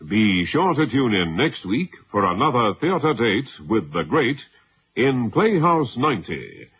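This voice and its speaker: Vintage announcer: male, mid-pitched, animated intonation, formal scripted read, muffled archive radio sound. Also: a little slow. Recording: dull, faintly noisy old radio recording.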